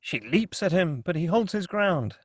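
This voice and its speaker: A man's voice: high voice, big pitch swings, normal speed, husky, no room sound, clean studio sound. A man reading screenplay stage directions as narrator at a table read.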